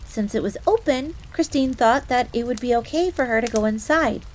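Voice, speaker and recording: A woman's voice: on the high side, normal speed, natural voice, rough recording. A woman playing a proud, easily flustered fox-girl maid in a roleplay audio drama, speaking formally in the third person with stammers. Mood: wistful, reserved. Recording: steady rain noise throughout. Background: rain ambience.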